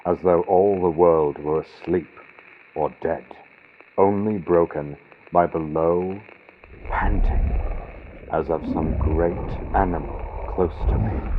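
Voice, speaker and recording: Slow, low-voiced man narrating gothic horror. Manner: theatrical, suspenseful, deliberate phrasing with dramatic pauses. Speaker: audiobook narrator. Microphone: built-in computer microphone. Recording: dull lo-fi computer mic, vintage-radio sound, loud noise. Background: loud noise and storm-like ambient sound effects.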